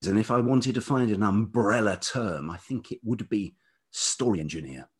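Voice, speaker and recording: A middle-aged British man with a velvety voice, talking reflectively and haltingly as an interview guest, often circling back on his thoughts. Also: fairly low pitch, a little hiss or hum. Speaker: podcast guest, writer and radio producer.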